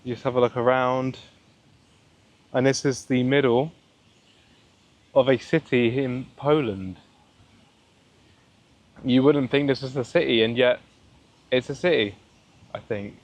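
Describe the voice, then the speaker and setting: A young man with a deep voice, chatting casually in a rambling way as he vlogs a walk outdoors through a town. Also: unhurried, long pauses. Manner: relaxed, rambling, many fillers. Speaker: travel vlogger. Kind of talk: casual walking vlog. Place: outdoors in a town square and park.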